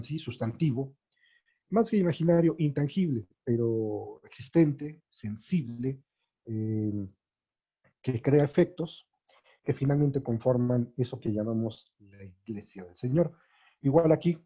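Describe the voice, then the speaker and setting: Dark, husky lecturer. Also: male voice, medium pitch, lo-fi sound. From Mexico. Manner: thinking aloud with many fillers and restarts.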